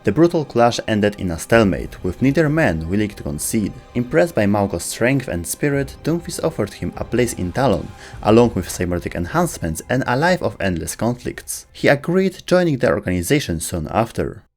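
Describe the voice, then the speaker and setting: Lowish, animated male narrator. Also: fairly quick, few pauses, faint music behind. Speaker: lore video narrator. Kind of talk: scripted documentary-style narration.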